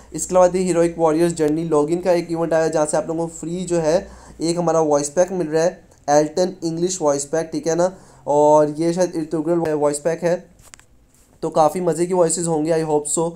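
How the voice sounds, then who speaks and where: Young man, slightly high voice, flat intonation, casual gaming YouTuber, chatty. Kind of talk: casual game event update, voice-over.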